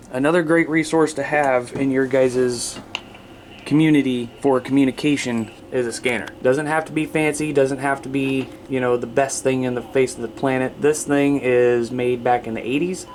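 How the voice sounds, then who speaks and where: A man's voice: mid-range voice, steady tone, normal speed. A man with a mid-range voice hosts an informal how-to video. He explains gear conversationally, addressing viewers directly. The recording carries faint background noise. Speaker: video host. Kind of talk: casual instructional talk, demonstrating radio gear.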